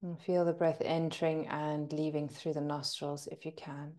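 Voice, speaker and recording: A woman leading a guided breathing meditation as a teacher, speaking gently and soothingly with lingering pauses. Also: low-pitched, level tone.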